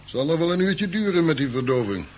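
A blustering local sheriff in a seventies radio drama. He is excitable and deferential to rank, with very lively intonation. The recording is dull, with faint noise. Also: a little high, fast. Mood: subdued, cooperative. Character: eager, blustering small-town sheriff. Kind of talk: 1970s radio play acting. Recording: muffled, faintly noisy 1970s radio recording.

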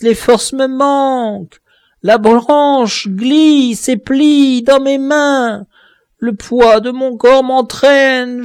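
A man reading an audiobook voices a vengeful male dwarf jester in a high, loud, strained voice. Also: dry recording, studio quality. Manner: shrill snarling threats, later high wailing pleas. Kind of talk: audiobook character voice.

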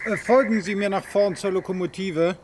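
A man's voice: sits high, steady tone, moderate pace, noisy background, natural voice. An actor playing a polite, matter-of-fact male train official in a German radio drama. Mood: polite, urgent.

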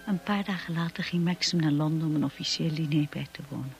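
An actress playing a reflective young wife who narrates her memories in a hushed, intimate way for a radio drama. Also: deep voice, fast talker, soft background music. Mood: reflective, uneasy. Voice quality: hushed, breathy.

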